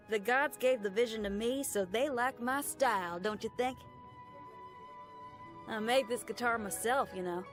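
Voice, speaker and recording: A woman's voice: a little high, a bit bright. A woman playing a brash, upbeat, free-spirited young female rock musician in a video game, with a folksy, slangy and enthusiastic way of talking. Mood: proud, musing. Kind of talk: video game character voice lines.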